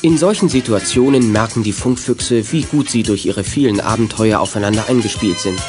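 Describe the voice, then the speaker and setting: A man's voice: on the low side, fairly quick. Male storyteller over music. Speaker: narrator. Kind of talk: scripted radio play narration for young listeners.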